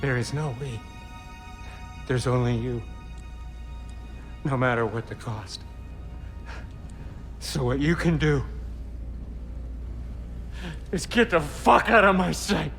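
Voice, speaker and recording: An actor playing a bitter, grieving dwarf in a game drama, blunt, wounded and accusing. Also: male voice, medium pitch, slow, vocal fry, pauses often, faint music behind. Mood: cold fury. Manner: accusing, forceful, foul-mouthed. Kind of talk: dramatic video game acting.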